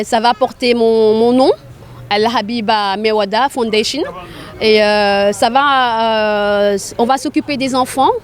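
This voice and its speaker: A woman with a somewhat high-pitched voice gives a spontaneous interview. She speaks earnestly about what she does, often repeating a phrase to stress it. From grew up in Arabia. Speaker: charity volunteer being interviewed.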